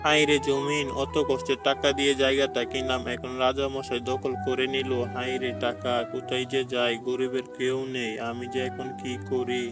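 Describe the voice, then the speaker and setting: An actor voicing a poor, desperate peasant farmer in a cartoon who pleads and laments. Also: male voice, mid-range voice, monotone, moderate pace, hardly any pauses, close to an everyday voice. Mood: despairing. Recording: background music.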